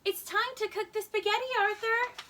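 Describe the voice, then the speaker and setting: A woman voicing a patient mother alligator in a children's storybook, gently giving reminders and instructions. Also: very high voice, steady tone, moderate pace, recorded from a distance, voice pushed up. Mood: encouraging.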